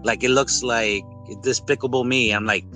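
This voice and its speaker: A man in a casual, rambling podcast-style chat reviewing a film, with animated retellings. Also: medium pitch, moderate pace, music in the background. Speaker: podcast host.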